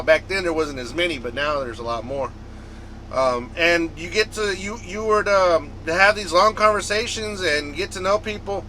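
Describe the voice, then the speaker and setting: A man with a bright, fairly high voice talks casually to his vlog viewers. He is a trucker from the United States and rambles along conversationally, often repeating himself. Faint vehicle and fan noise sits behind him, as inside a truck cab. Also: poor audio. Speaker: trucker vlogger.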